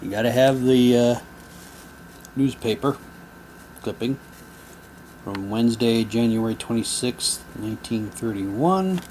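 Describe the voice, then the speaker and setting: A man giving halting, low-key, wry commentary as he casually unboxes items and reads captions aloud. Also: a little mellow, slight background noise, rough recording. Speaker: unboxing video host.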